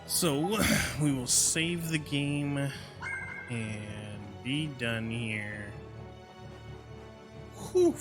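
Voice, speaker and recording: A man's voice: medium pitch, extremely slow, pauses often. A tired man giving casual commentary as he streams a video game. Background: game music.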